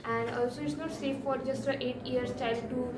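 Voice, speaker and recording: A woman's voice: high-pitched, fairly even intonation, fairly quick, a crowd in the background. A teenage female student with an Indian accent explaining her answer in class. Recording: distant mic in echoey, murmuring classroom.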